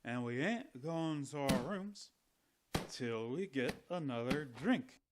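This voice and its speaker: A man's voice: mid-range voice, lively intonation, takes it slowly, a little hiss or hum. A man acting in a radio drama as a stubborn, boisterous drunken hotel guest, mocking and demanding.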